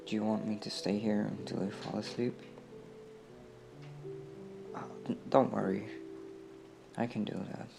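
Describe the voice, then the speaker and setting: A man speaking in a gentle, coaxing way, as in an intimate comfort roleplay. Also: on the low side, little pitch movement, gravelly, over music. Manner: gentle, coaxing, slow and unhurried. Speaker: roleplay audio performer playing a caring comrade.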